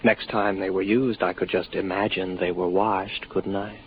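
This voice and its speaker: A low, gravelly space officer, isolated and tired of his own hallucinations. He speaks with wry, deliberate weariness in the manner of 1950s radio drama, on a dull old broadcast recording with faint noise. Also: little pitch movement. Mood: wry, guarded.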